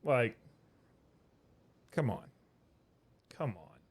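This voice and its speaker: A man's voice: mid-range voice, very animated, drawn-out words, breathy edge. A man giving casual, sarcastic reactions as a streamer playing a game. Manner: informal, sarcastic. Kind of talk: casual gameplay reaction chat. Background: game music.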